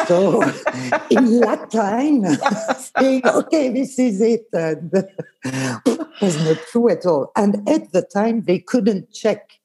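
Slow, mellow-voiced Swiss woman. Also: lowish voice. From Switzerland. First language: Swiss German. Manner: hesitant, many fillers, laughs while telling stories. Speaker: former tour leader. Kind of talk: casual reminiscing chat.

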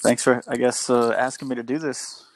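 A man's voice: mid-range voice, little pitch movement, moderate pace, dry recording. A man talking informally and conversationally as a guest on a podcast. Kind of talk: casual chat.

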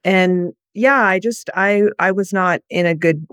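A woman from the United States, telling her personal story as a guest in an interview, soft and reflective with many pauses. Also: fairly low pitch, no room sound, studio quality.

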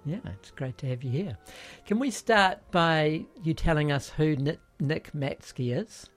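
A man hosting a podcast, greeting his guest and asking relaxed, joking questions. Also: a little high, unhurried, faint music behind. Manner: laid-back, joking questions. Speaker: podcast host. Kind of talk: casual interview hosting.

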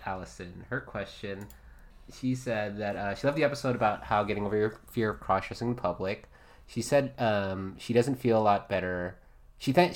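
A woman with a very low, deep voice hosts a casual podcast chat into a studio mic. She talks loosely, often laughs and trails off mid-sentence. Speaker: podcast host.

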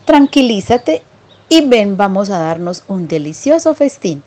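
A woman's voice: medium pitch, sing-song, measured pace, mellow. A woman voicing a well-off, boastful male city mouse in a storybook tale, showy and coaxing.

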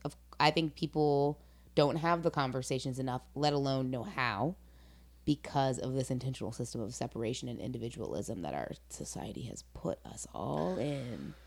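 A woman hosting a casual, philosophical conversation, speaking in an earnest, deliberate, opinionated way. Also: extremely low pitch.

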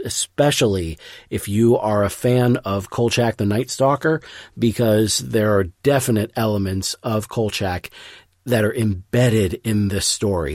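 A man introducing an audio drama as podcast host, speaking in an earnest, effusive and somewhat halting way. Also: fairly low pitch, darkish tone, no room sound, clean studio sound. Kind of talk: scripted-loose podcast introduction.

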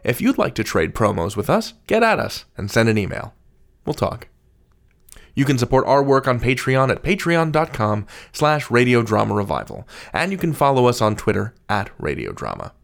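A man's voice: mid-range voice, sing-song, moderate pace, creaky. A man hosting a podcast, reading scripted announcements and credits. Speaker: podcast host.

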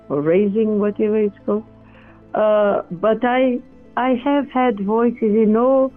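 A speaker answers questions slowly, stumbling and restarting as they search for words. The audio is thin and phone-like. Faint music sits underneath. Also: medium pitch. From Portugal. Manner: halting, many restarts and self-corrections. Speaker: experimenter in spirit communication. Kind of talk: interview answers.

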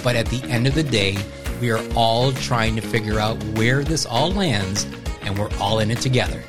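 A man's voice: medium pitch, moderate pace, music in the background. A man hosting a podcast, introducing his guest and asking questions in a friendly way. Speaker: podcast host.

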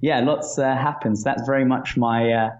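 A man's voice: mid-range voice, fairly even intonation, normal speed, lo-fi sound. A British man in his thirties from London, answering interview questions casually with many restarts and fillers over a video call. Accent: British English. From northwest London, England. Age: 30s. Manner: repeats words at phrase starts, many fillers. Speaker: startup founder, guest. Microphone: video call from home.

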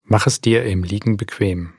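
A man leading a guided body scan meditation as an instructor, speaking calmly and gently with unhurried pauses. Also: on the low side, husky, no echo, clean studio sound.

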